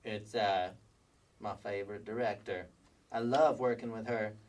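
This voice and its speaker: Dim, vain kindergarten child star, grown man acting, slow deadpan delivery, sketch comedy, distant mic. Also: lowish voice, natural voice. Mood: laid-back. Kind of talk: staged sketch comedy reading.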